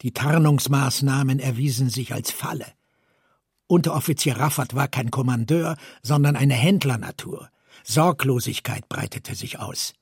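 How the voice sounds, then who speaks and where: A man's voice: mid-range voice, normal speed, creaky, breathy edge, no echo, clean studio sound. A man reading literary prose and quotations aloud as a co-reader.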